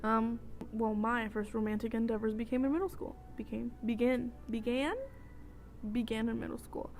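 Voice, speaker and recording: Female vlogger, twenties, slightly high voice, chatty casual storytime with tangents, noisy home recording. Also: steady tone, normal speed, darkish tone. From El Salvador. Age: 20s. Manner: chatty, tangent-prone, often checking in with "okay?". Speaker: vlogger telling personal stories. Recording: noticeable noise, homemade vlog audio.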